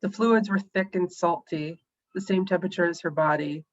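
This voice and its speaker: A woman's voice: fairly low pitch, moderate pace, lo-fi sound. A woman, an author, who sounds a little scratchy and nasal while recovering, reading her own story aloud over a video call. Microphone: home computer mic on a video call.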